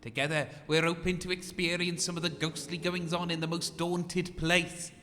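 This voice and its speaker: A self-important spiritual medium acted by a man in comedy audio drama. He addresses people solemnly, with measured, formal phrasing and a bright tone. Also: on the high side, little pitch movement, big-room sound, slight background noise, natural voice. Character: self-important male spiritual medium leading ghost tours.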